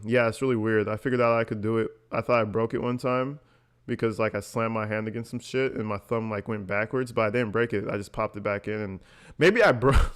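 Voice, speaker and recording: A man hosts a casual reaction segment in an ordinary mid-pitched voice. He talks in a loose, conversational way, swearing often and sounding mocking and incredulous as he comments. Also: smooth. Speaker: host reacting to recorded calls.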